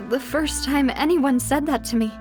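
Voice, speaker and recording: A woman voices a young lady leader in a game, high-pitched and articulate. She is kind but resolute and composed, over faint background music. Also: moderate pace, close to an everyday voice. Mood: composed, serious. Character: determined, kind young lady boss seeking the truth. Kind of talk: game cutscene dialogue.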